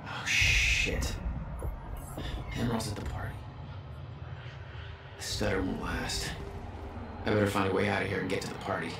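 An actor playing a wry, determined action hero on the run in a cinematic video game, given to sardonic asides. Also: male voice, low-pitched, steady tone, normal speed, creaky, pauses often, noisy background. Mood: wry, focused. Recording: roomy, distant, under loud music.

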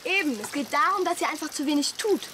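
A pert know-it-all girl in a radio comedy, high and quick, noisy outdoor backdrop. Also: expressive. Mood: reproachful. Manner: pert, matter-of-fact. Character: cheeky, know-it-all young daughter. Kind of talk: German comedy radio play acting.

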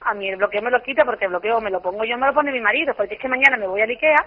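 Una mujer con acento andaluz que habla de forma coloquial por teléfono. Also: female voice, fairly high pitch, rapid-fire. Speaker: prank call recipient. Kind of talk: casual phone conversation. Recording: dull lo-fi phone line.

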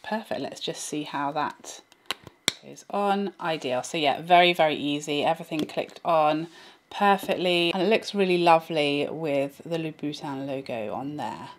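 A woman in her thirties, slightly hoarse from a cold, chatting directly to viewers as she reviews a product at home. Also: deep voice. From England. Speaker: beauty vlogger. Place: bright indoor room at home.

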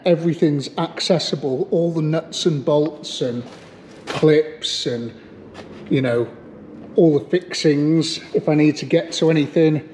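A man's voice: on the high side, echoey room, slight background noise. A man, chatting casually to camera and to himself while he works on an old car. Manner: chatty, relaxed, talks to himself while working. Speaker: classic car restoration vlogger. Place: garage or barn workshop.

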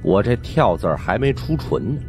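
A man's voice: fairly low pitch, big pitch swings, normal speed, gravelly, a little mellow, faint music behind. A man narrating a suspense audiobook in a colloquial, theatrical storytelling style. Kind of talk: dramatic scripted narration of a supernatural suspense novel.

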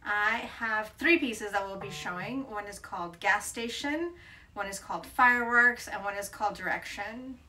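Woman in her 40s from colombia, chatting in an interview, lots of little pauses, bright voice a bit off mic. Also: medium pitch, far from the mic. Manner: thoughtful, with many hesitations. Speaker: interviewed photographer. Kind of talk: casual interview answers. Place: bright room by a window, indoors.

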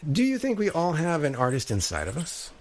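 A man's voice: a little high, huge pitch swings, brisk. A man hosting a casual interview, putting conversational questions to his guest. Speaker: podcast interviewer.

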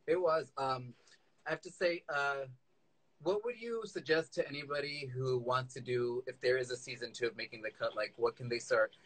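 A man's voice: medium pitch, normal speed, a bit bright, low-quality recording. A man hosting a casual chat interview in a gushing, informal way. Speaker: interviewer, drag queen host. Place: indoors, small room. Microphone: phone at arm's length, video call.

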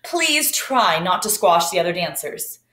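A woman's voice: fairly low pitch, sing-song, unhurried, sharp tone, reverberant, exaggerated voice. A woman voicing a proper, kindly ballet teacher in a picture book, polite but commanding.

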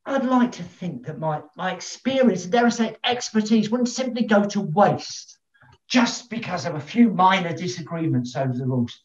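A pompous, eccentric older football referee in a comedy. His delivery is theatrical and self-important, with a very animated pitch. The sound is dull and lo-fi, like a video call. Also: moderate pace. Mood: wounded, indignant. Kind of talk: filmed comedy acting. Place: room at home, on a video call.

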